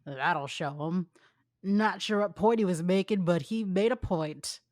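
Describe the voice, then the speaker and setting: A woman voicing a weary, unimpressed young male ninja in a deadpan, grumbling manner in a fan fiction reading. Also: lowish voice, measured pace, no room sound, studio quality, close to an everyday voice.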